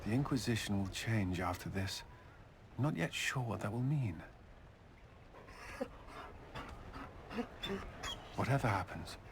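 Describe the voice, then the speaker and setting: Actor as stern, honorable soldier, noisy background. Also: male voice, lowish voice, natural voice. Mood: solemn. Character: stern, honorable soldier man with dry warmth. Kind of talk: video game dialogue acting.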